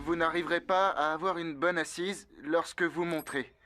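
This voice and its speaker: Easygoing teenage ranch boy, low-pitched and casual, music underneath. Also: fairly even intonation, moderate pace, a bit bright. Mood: friendly. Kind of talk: animated cartoon French dub acting.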